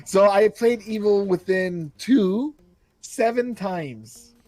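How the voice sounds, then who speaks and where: A young man co-hosting a gaming podcast in casual chat, jokey and animated, slipping into silly voices for stories. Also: high-pitched, slow, no room sound. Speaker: podcast co-host.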